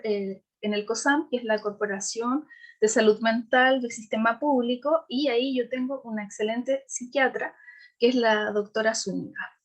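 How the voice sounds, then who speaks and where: A woman with a Chilean Spanish accent and a slightly high voice speaks to an online audience. She often hesitates and restarts her sentences. She tells her story candidly and laughs now and then. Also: highs cut off. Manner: hesitant with frequent fillers and restarts. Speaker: patient giving a talk. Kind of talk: informal presentation on a video call.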